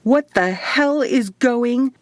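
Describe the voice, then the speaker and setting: A woman's voice: on the high side, slow, no echo, voice pushed up. A sarcastic young woman who can't believe what is happening, acted by a female narrator. She grumbles with incredulity, in a dry audiobook recording. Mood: outraged.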